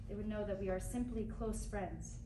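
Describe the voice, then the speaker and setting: A woman's voice: mid-range voice, steady tone, normal speed, close to an everyday voice. A woman playing an earnest, wistful college student of the 1920s in a staged play reading, with a hesitant, tender delivery. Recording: echoey noisy room, distant mic.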